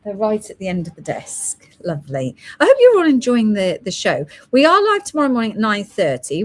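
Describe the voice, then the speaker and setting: A woman hosts a casual live sales stream for gemstone beads. Her voice sits fairly high and moves up and down a lot as she chats. She keeps up a friendly patter, reading out stock codes and prices and thanking her helpers.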